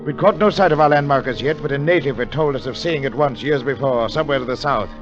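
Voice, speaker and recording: An actor playing an educated, cautious gentleman adventurer who narrates his expedition in a measured, formal 1940s radio style. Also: mid-range voice, close to an everyday voice. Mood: grave narration. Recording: muffled 1940s radio broadcast, music behind.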